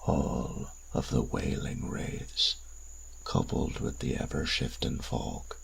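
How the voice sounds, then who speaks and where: A man playing a suave, menacing old vampire in an audio drama, silky and formal, with a breathy, gravelly voice. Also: extremely low pitch, fairly even intonation, slight background noise, exaggerated voice. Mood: charming, courtly. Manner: silky, formal, theatrically unhurried. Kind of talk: scripted audio roleplay acting.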